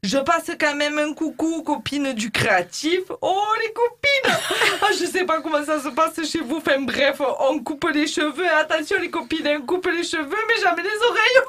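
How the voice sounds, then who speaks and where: A woman's voice: high-pitched, normal speed, hardly any pauses, a bit bright, a little hiss or hum. A woman playing a chatty, bawdy beautician in a mock interview, rambling with fillers and cheeky jokes. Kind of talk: comedy sketch, mock interview.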